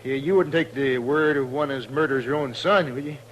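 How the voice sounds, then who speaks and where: An actor playing a whining, nervous American cattle rustler who stammers and pleads in a radio western. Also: male voice, medium pitch, brisk, close to an everyday voice. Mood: pleading, panicked. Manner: agitated, stammering, wheedling. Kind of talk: 1950s radio western acting. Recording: noisy vintage radio drama recording.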